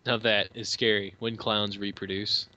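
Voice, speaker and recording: A man's voice: on the low side, flat delivery, moderate pace, bright, forceful tone, no room sound. A man co-hosting a casual fan movie commentary, joking crudely and swearing freely. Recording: dry, dull lo-fi home mic.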